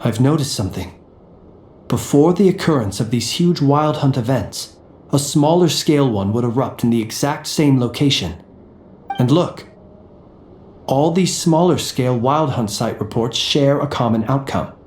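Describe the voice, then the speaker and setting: Composed, analytical gentleman soldier, acted by a man, roomy sound. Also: mid-range voice, expressive, slight background noise, lightly acted. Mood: thoughtful. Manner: measured, formal, deliberate. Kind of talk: video game character dialogue.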